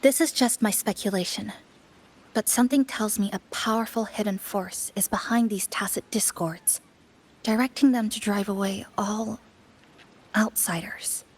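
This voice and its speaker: A woman's voice: mid-range voice, normal speed, breathy edge, close to an everyday voice, poor audio. A woman playing a reserved, serious young female fighter in a video game, speaking in a restrained, matter-of-fact way. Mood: wary. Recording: music behind the dialogue.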